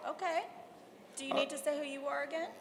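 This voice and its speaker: A high-pitched woman emcees a gala, loud and lively as she tells stories to the crowd. She speaks into a handheld mic, and her voice rings out through a large hall with a long reverb. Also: fast talker, slight background noise. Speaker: event co-host. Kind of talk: live emcee banter at a gala. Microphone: handheld microphone.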